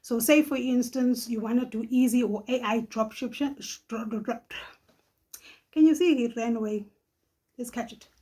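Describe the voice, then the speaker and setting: Husky south african lady, middle-aged, chatty vlog host, laughs a lot, bit high voice. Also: moderate pace, dark tone. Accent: South African, Johannesburg. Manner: chatty, laughs often, tags lines with "okay?". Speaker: channel host. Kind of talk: casual vlog advice.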